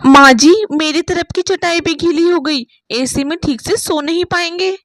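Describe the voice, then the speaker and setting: A woman's voice: extremely high pitch, unhurried, a bit bright, a little hiss or hum. A woman voicing a meek, hurt, poor elder daughter-in-law with a plaintive manner in a family story. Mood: worried.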